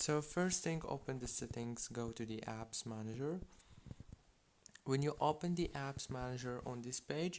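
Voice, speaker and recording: Man narrating a how-to tutorial, mid-pitched. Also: darkish tone. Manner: instructional, step-by-step. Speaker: tutorial host.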